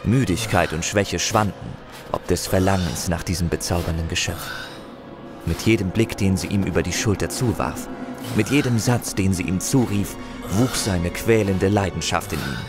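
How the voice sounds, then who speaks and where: Low-voiced male storyteller over music. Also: normal speed. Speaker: narrator. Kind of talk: scripted dramatic storytelling narration.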